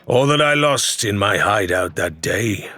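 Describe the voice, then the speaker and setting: Man playing a low, commanding pirate captain. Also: lively intonation, bright, forceful tone, no room sound, heavily acted. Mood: boastful. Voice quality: low, level. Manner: assured, deliberate. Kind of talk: audiobook character voice.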